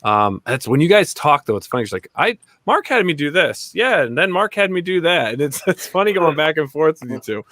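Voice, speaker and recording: Male show host. Bright, punchy voice, close dry sound, informal and full of appreciation for guests. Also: on the high side, sing-song, brisk, hardly any pauses, no room sound. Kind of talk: casual interview hosting.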